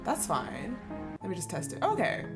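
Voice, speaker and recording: A woman's voice: mid-range voice, huge pitch swings, moderate pace. A woman streamer giving live gaming commentary to her chat, exclamatory and giggly, often repeating herself as she hesitates. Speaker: game streamer. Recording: game music behind the voice.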